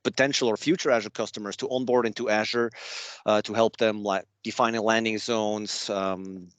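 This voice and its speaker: A man from Switzerland with a Swiss accent, giving a relaxed slide presentation to a user group over a video call. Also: on the low side, moderate pace, clean tone, dry recording. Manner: chatty, loose and self-deprecating. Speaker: guest speaker at a user group. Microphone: remote video call.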